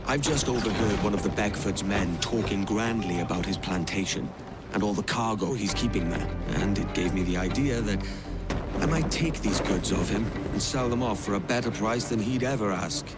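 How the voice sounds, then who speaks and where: An actor playing a young pirate captain in a video game, wry, roguish and swaggering. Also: male voice, on the low side, moderate pace, over loud music, close to an everyday voice. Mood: curious, scheming. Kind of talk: video game cinematic acting.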